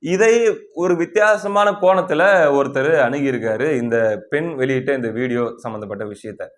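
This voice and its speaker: A man's voice: medium pitch, moderate pace. A man with a Tamil Nadu accent giving conversational political commentary, explaining things and posing rhetorical questions that he answers himself.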